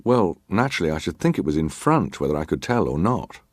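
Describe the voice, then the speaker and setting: Deep-voiced, formal ship's officer. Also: male voice, sing-song, no echo, studio quality. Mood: guarded, composed. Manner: measured, clipped, formally deferential answers. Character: formal ship's officer testifying, guarded and terse. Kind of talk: dramatised reading of hearing testimony.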